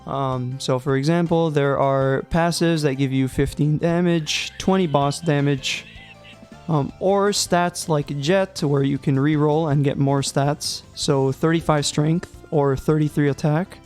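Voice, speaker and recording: A man's voice: on the high side, fairly even intonation, unhurried, a little mellow, soft background music. A young man narrating a casual game tutorial, chatty and joking, with loose fillers, a little sick. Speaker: gaming guide creator.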